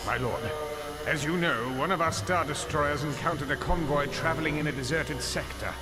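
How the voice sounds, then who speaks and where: An actor in an audio drama playing a deferential, nervous Imperial officer giving a report. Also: male voice, on the high side, moderate pace, natural voice. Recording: long hall reverb, loud score behind.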